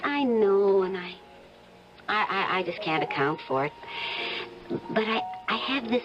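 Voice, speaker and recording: A woman plays a judge's anxious wife in an old-time radio drama. Her voice sits at a middle pitch, and she speaks with earnest, halting insistence. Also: animated, lo-fi sound, music in the background, lightly acted. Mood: distressed conviction. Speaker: actress.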